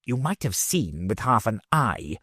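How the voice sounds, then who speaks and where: Male reader as a sly, smooth official who soothes and schemes. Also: on the low side, sing-song, measured pace, pushed and bright, no echo, clean studio sound, heavily acted. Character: smooth crafty middle-aged male official. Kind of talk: audiobook character reading.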